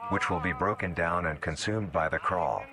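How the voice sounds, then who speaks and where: A man reading scripted documentary narration in a flat, formal, measured way, played from a video. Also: deep voice. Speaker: narrator of an analog horror video. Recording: played from a video with music behind. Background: music.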